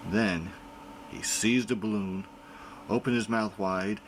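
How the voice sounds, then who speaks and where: A man reading a children's picture book aloud in an expressive storytelling manner. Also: lowish voice, slow pace, slight background noise. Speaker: reader.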